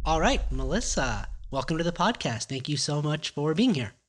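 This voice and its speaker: A man's voice: a little high, sing-song, normal speed, fairly bright tone. A man hosting a podcast, introducing the show with upbeat energy and asking long, rambling questions. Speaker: podcast host.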